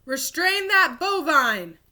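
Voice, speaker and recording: A woman voicing an alarmed, blustering museum guard in a children's storybook, exclaiming loudly. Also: high voice, big pitch swings, takes it slowly, voice pushed up, full character voice. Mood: alarmed shouting. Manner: shouting, exclamatory.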